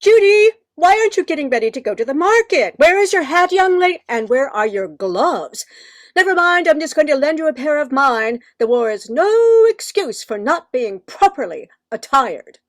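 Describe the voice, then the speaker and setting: A woman playing a controlling, quick-witted 1940s mother in an amateur radio play, fussy and exasperated. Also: high-pitched, very animated, no room sound. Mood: bossy, impatient.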